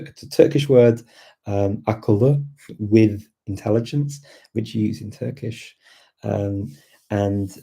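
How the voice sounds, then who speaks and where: A man's voice: fairly low pitch, creaky, mellow, slightly rough. A middle-aged British man hosting a casual live chat, rambling enthusiastically with lots of fillers and restarts. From Britain. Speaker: language-learning host answering viewer questions.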